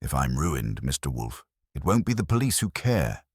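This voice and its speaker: A man voicing an anxious, determined woman who owns a bakery, halting and earnest in a detective story. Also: very deep voice, sing-song, husky, no echo, studio quality, full character voice. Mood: tired, pleading.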